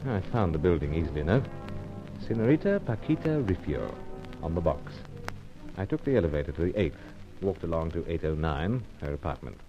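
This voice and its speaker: A man's voice: sits low, big pitch swings, a little fast. An actor playing a suave British secret agent in a vintage radio thriller, clipped and understated in his delivery. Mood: dramatic narrating. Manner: clipped, understated, dropping words. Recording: muffled old radio recording, faint music.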